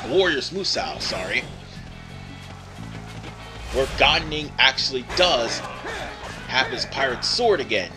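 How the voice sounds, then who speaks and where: A man's voice: medium pitch, lively intonation, slow, pauses often. Tired rambling man over game music. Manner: rambling, digressive, self-correcting. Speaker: gaming video host. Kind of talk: casual let's play commentary. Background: video game music.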